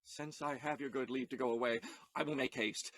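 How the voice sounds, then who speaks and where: An actor playing an earnest, distraught young gentleman lover in a Shakespearean audio play, with theatrical, declamatory delivery. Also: mid-range voice, fairly even intonation, brisk, slight background noise, lightly acted. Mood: sorrowful, tender.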